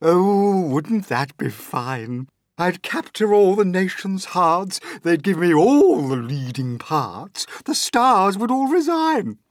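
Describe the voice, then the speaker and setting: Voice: medium pitch, big pitch swings, takes it slowly, no echo, studio quality, pitched above the performer's own voice. A storyteller voicing a vain, bossy old aunt who drawls in a pompous, raised voice.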